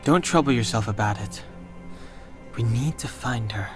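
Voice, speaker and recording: A man voicing a calm, practical, reserved young man in an anime-style game, measured and understated. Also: mid-range voice, natural voice. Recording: faint music behind.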